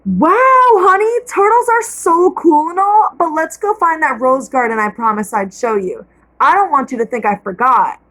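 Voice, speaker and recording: Woman voicing a soft-spoken storybook mother, affectionate, high voice. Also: lively intonation, normal speed, low-quality recording. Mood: tender. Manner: soothing, affectionate. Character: gentle loving mother.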